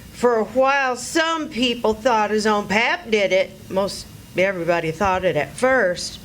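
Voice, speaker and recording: A woman acts a shrewd, motherly country housewife in a stage radio play. She has a highish voice and a slow, folksy drawl, with faint noise behind her. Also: a bit bright, low-quality recording. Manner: folksy Southern rural dialect, drawn-out. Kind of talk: live radio play acting.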